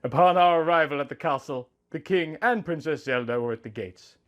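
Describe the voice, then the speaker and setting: A man's voice: a little high, a little fast, dull audio. A man reading a scripted first-person story as narrator, with music behind him.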